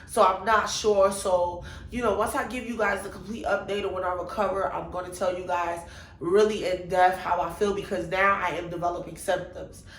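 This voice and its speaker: A woman's voice: fairly low pitch, normal speed, reverberant, a little hiss or hum, rough recording. A young woman vlogger with a congested, nasal voice, chatting animatedly as she tells a personal story. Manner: animated, chatty, exclaims to God and Jesus. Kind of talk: casual storytime vlog.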